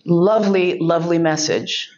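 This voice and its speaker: A woman's voice: lowish voice, moderate pace, darkish tone, dull audio. Frank, laughing woman telling a story. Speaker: podcast host giving a keynote speech. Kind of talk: storytelling speech.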